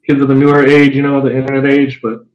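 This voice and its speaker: A man's voice: mid-range voice, completely flat delivery, quick pace. Man in his forties, roomy lo-fi webcam. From born in Japan, raised east of Los Angeles. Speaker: guest, fighting game veteran. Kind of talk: casual podcast interview over video call. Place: room at home. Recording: roomy, dull lo-fi webcam audio.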